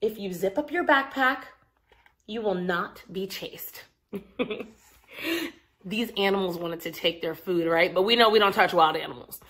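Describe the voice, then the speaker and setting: A woman telling a story to young children in an expressive, engaging way, with asides to her listeners. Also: mid-range voice, measured pace.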